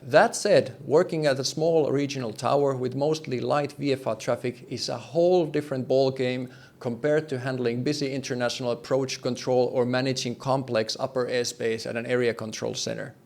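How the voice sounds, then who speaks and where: A man's voice: mid-range voice, fairly even intonation, moderate pace, gravelly, hardly any pauses, a little mellow. A man presenting a scripted explainer in a conversational, plain-spoken way, with emphasis and light wryness. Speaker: host, air traffic control explainer.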